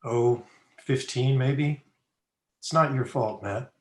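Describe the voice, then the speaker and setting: Man as a slow, kindly frontier doctor. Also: mid-range voice, a little mellow, natural voice. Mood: somber, consoling. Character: kindly frontier town doctor, somber and consoling. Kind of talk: old-time radio western acting.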